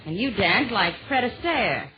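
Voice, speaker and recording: Sassy, lively-voiced flirtatious princess. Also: female voice, mid-range voice, unhurried. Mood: flirty, sassy. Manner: sassy, blunt. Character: flirtatious, wisecracking fairy-tale princess. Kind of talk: 1940s radio comedy acting. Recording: noisy, dull old 1940s radio recording.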